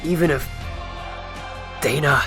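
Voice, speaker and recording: A man acting an intense, sardonic, vengeful but principled superhero in an animated futuristic drama. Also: fairly high pitch, slow pace, faint music behind.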